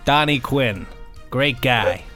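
A man's voice: mid-range voice, slow pace, bright, forceful tone. Jokey British man, music behind him. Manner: jokey, self-mocking, chatty. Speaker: podcast co-host. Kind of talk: casual rambling podcast banter.

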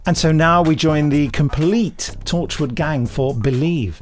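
A man's voice: fairly high pitch, unhurried, faint music behind. A man hosting a podcast in casual chat with a co-host.

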